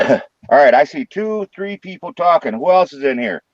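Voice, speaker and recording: A man with a St. Louis accent hosting a late-night live stream from his room, joking loudly and swearing freely. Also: on the high side, expressive, normal speed, no room sound, muffled recording. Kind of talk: casual live stream chat.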